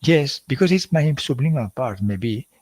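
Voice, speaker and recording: A man with an Italian accent hosting a live stream, talking in a halting way with many fillers and restarts. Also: medium pitch, lively intonation, a little mellow, no echo. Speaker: hypnosis channel host. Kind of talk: casual livestream talk.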